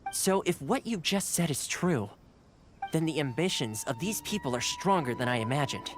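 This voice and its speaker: A man's voice: on the high side, animated, moderate pace, lightly acted. Casting: male actor for a polite, composed young noble-house retainer, game dialogue, formal and explanatory, over music. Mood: thoughtful. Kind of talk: polished video game voice acting, explanatory.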